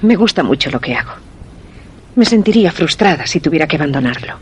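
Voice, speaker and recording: A woman's voice: deep voice, expressive, fast talker, darkish tone, a little hiss or hum. An actress playing an enigmatic, guarded young woman in a radio play, speaking tersely and evasively but firmly. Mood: quietly firm. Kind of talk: Spanish radio play acting.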